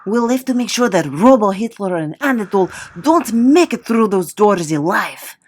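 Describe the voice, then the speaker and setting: A woman's voice: medium pitch, lively intonation, moderate pace, darkish tone, close to an everyday voice. A woman playing a wry, determined gang leader in a comedic audio drama, conversational and sardonic. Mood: resolute, wry.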